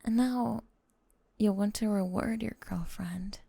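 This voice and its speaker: Woman doing a yandere snake girl asmr, sweet but menacing, soft mellow voice, lots of whispering, super close and dry. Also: medium pitch, takes it slowly, creaky, breathy edge, no echo, exaggerated voice. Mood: doting, controlling. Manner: intimate, coaxing, often whispering. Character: possessive, doting yandere lamia girlfriend, sweetly menacing. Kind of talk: ASMR roleplay.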